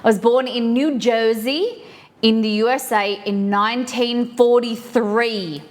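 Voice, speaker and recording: A woman's voice: on the high side, steady tone, unhurried. A woman hosting a live stream, chatting casually and reading trivia clues aloud to her viewers while baking in her kitchen. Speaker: streamer host.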